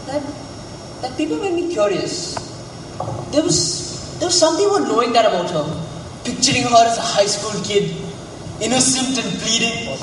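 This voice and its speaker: Actor as cocky, high-pitched man in echoey hall. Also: sing-song, moderate pace, long pauses. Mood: goading. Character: Jack, crude cocky womanizing man, needling and defensive. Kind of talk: stage play acting. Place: theatre stage, recorded from far off. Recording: echoey hall, distant mic, faint noise.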